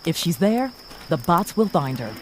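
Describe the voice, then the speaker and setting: A woman's voice: fairly low pitch, sing-song, moderate pace, slight background noise. A woman playing a dutiful rabbit starship lieutenant in a science-fiction audio drama, insistent and militarily formal.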